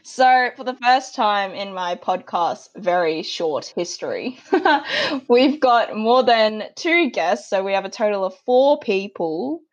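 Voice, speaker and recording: A woman's voice: medium pitch, lively intonation, slow. A young Australian woman hosting a podcast in an upbeat, giggly, chatty manner. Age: young adult. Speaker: podcast host. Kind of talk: casual podcast chat. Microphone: remote call audio.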